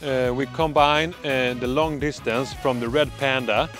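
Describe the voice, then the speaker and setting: A man's voice: mid-range voice, steady tone, a bit bright, soft background music. Enthusiastic Swedish-accented man outdoors. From Sweden. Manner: enthusiastic salesman, halting with fillers. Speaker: product presenter. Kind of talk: product demonstration vlog. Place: dark countryside road at night, outdoors.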